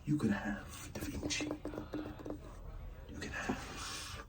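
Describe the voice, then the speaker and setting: Slow, soft-spoken ASMR man. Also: recorded from a distance, background noise. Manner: hesitant, unhurried, pausing mid-phrase. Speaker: ASMR performer playing an art gallery dealer. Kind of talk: soft-spoken ASMR roleplay, partly whispered.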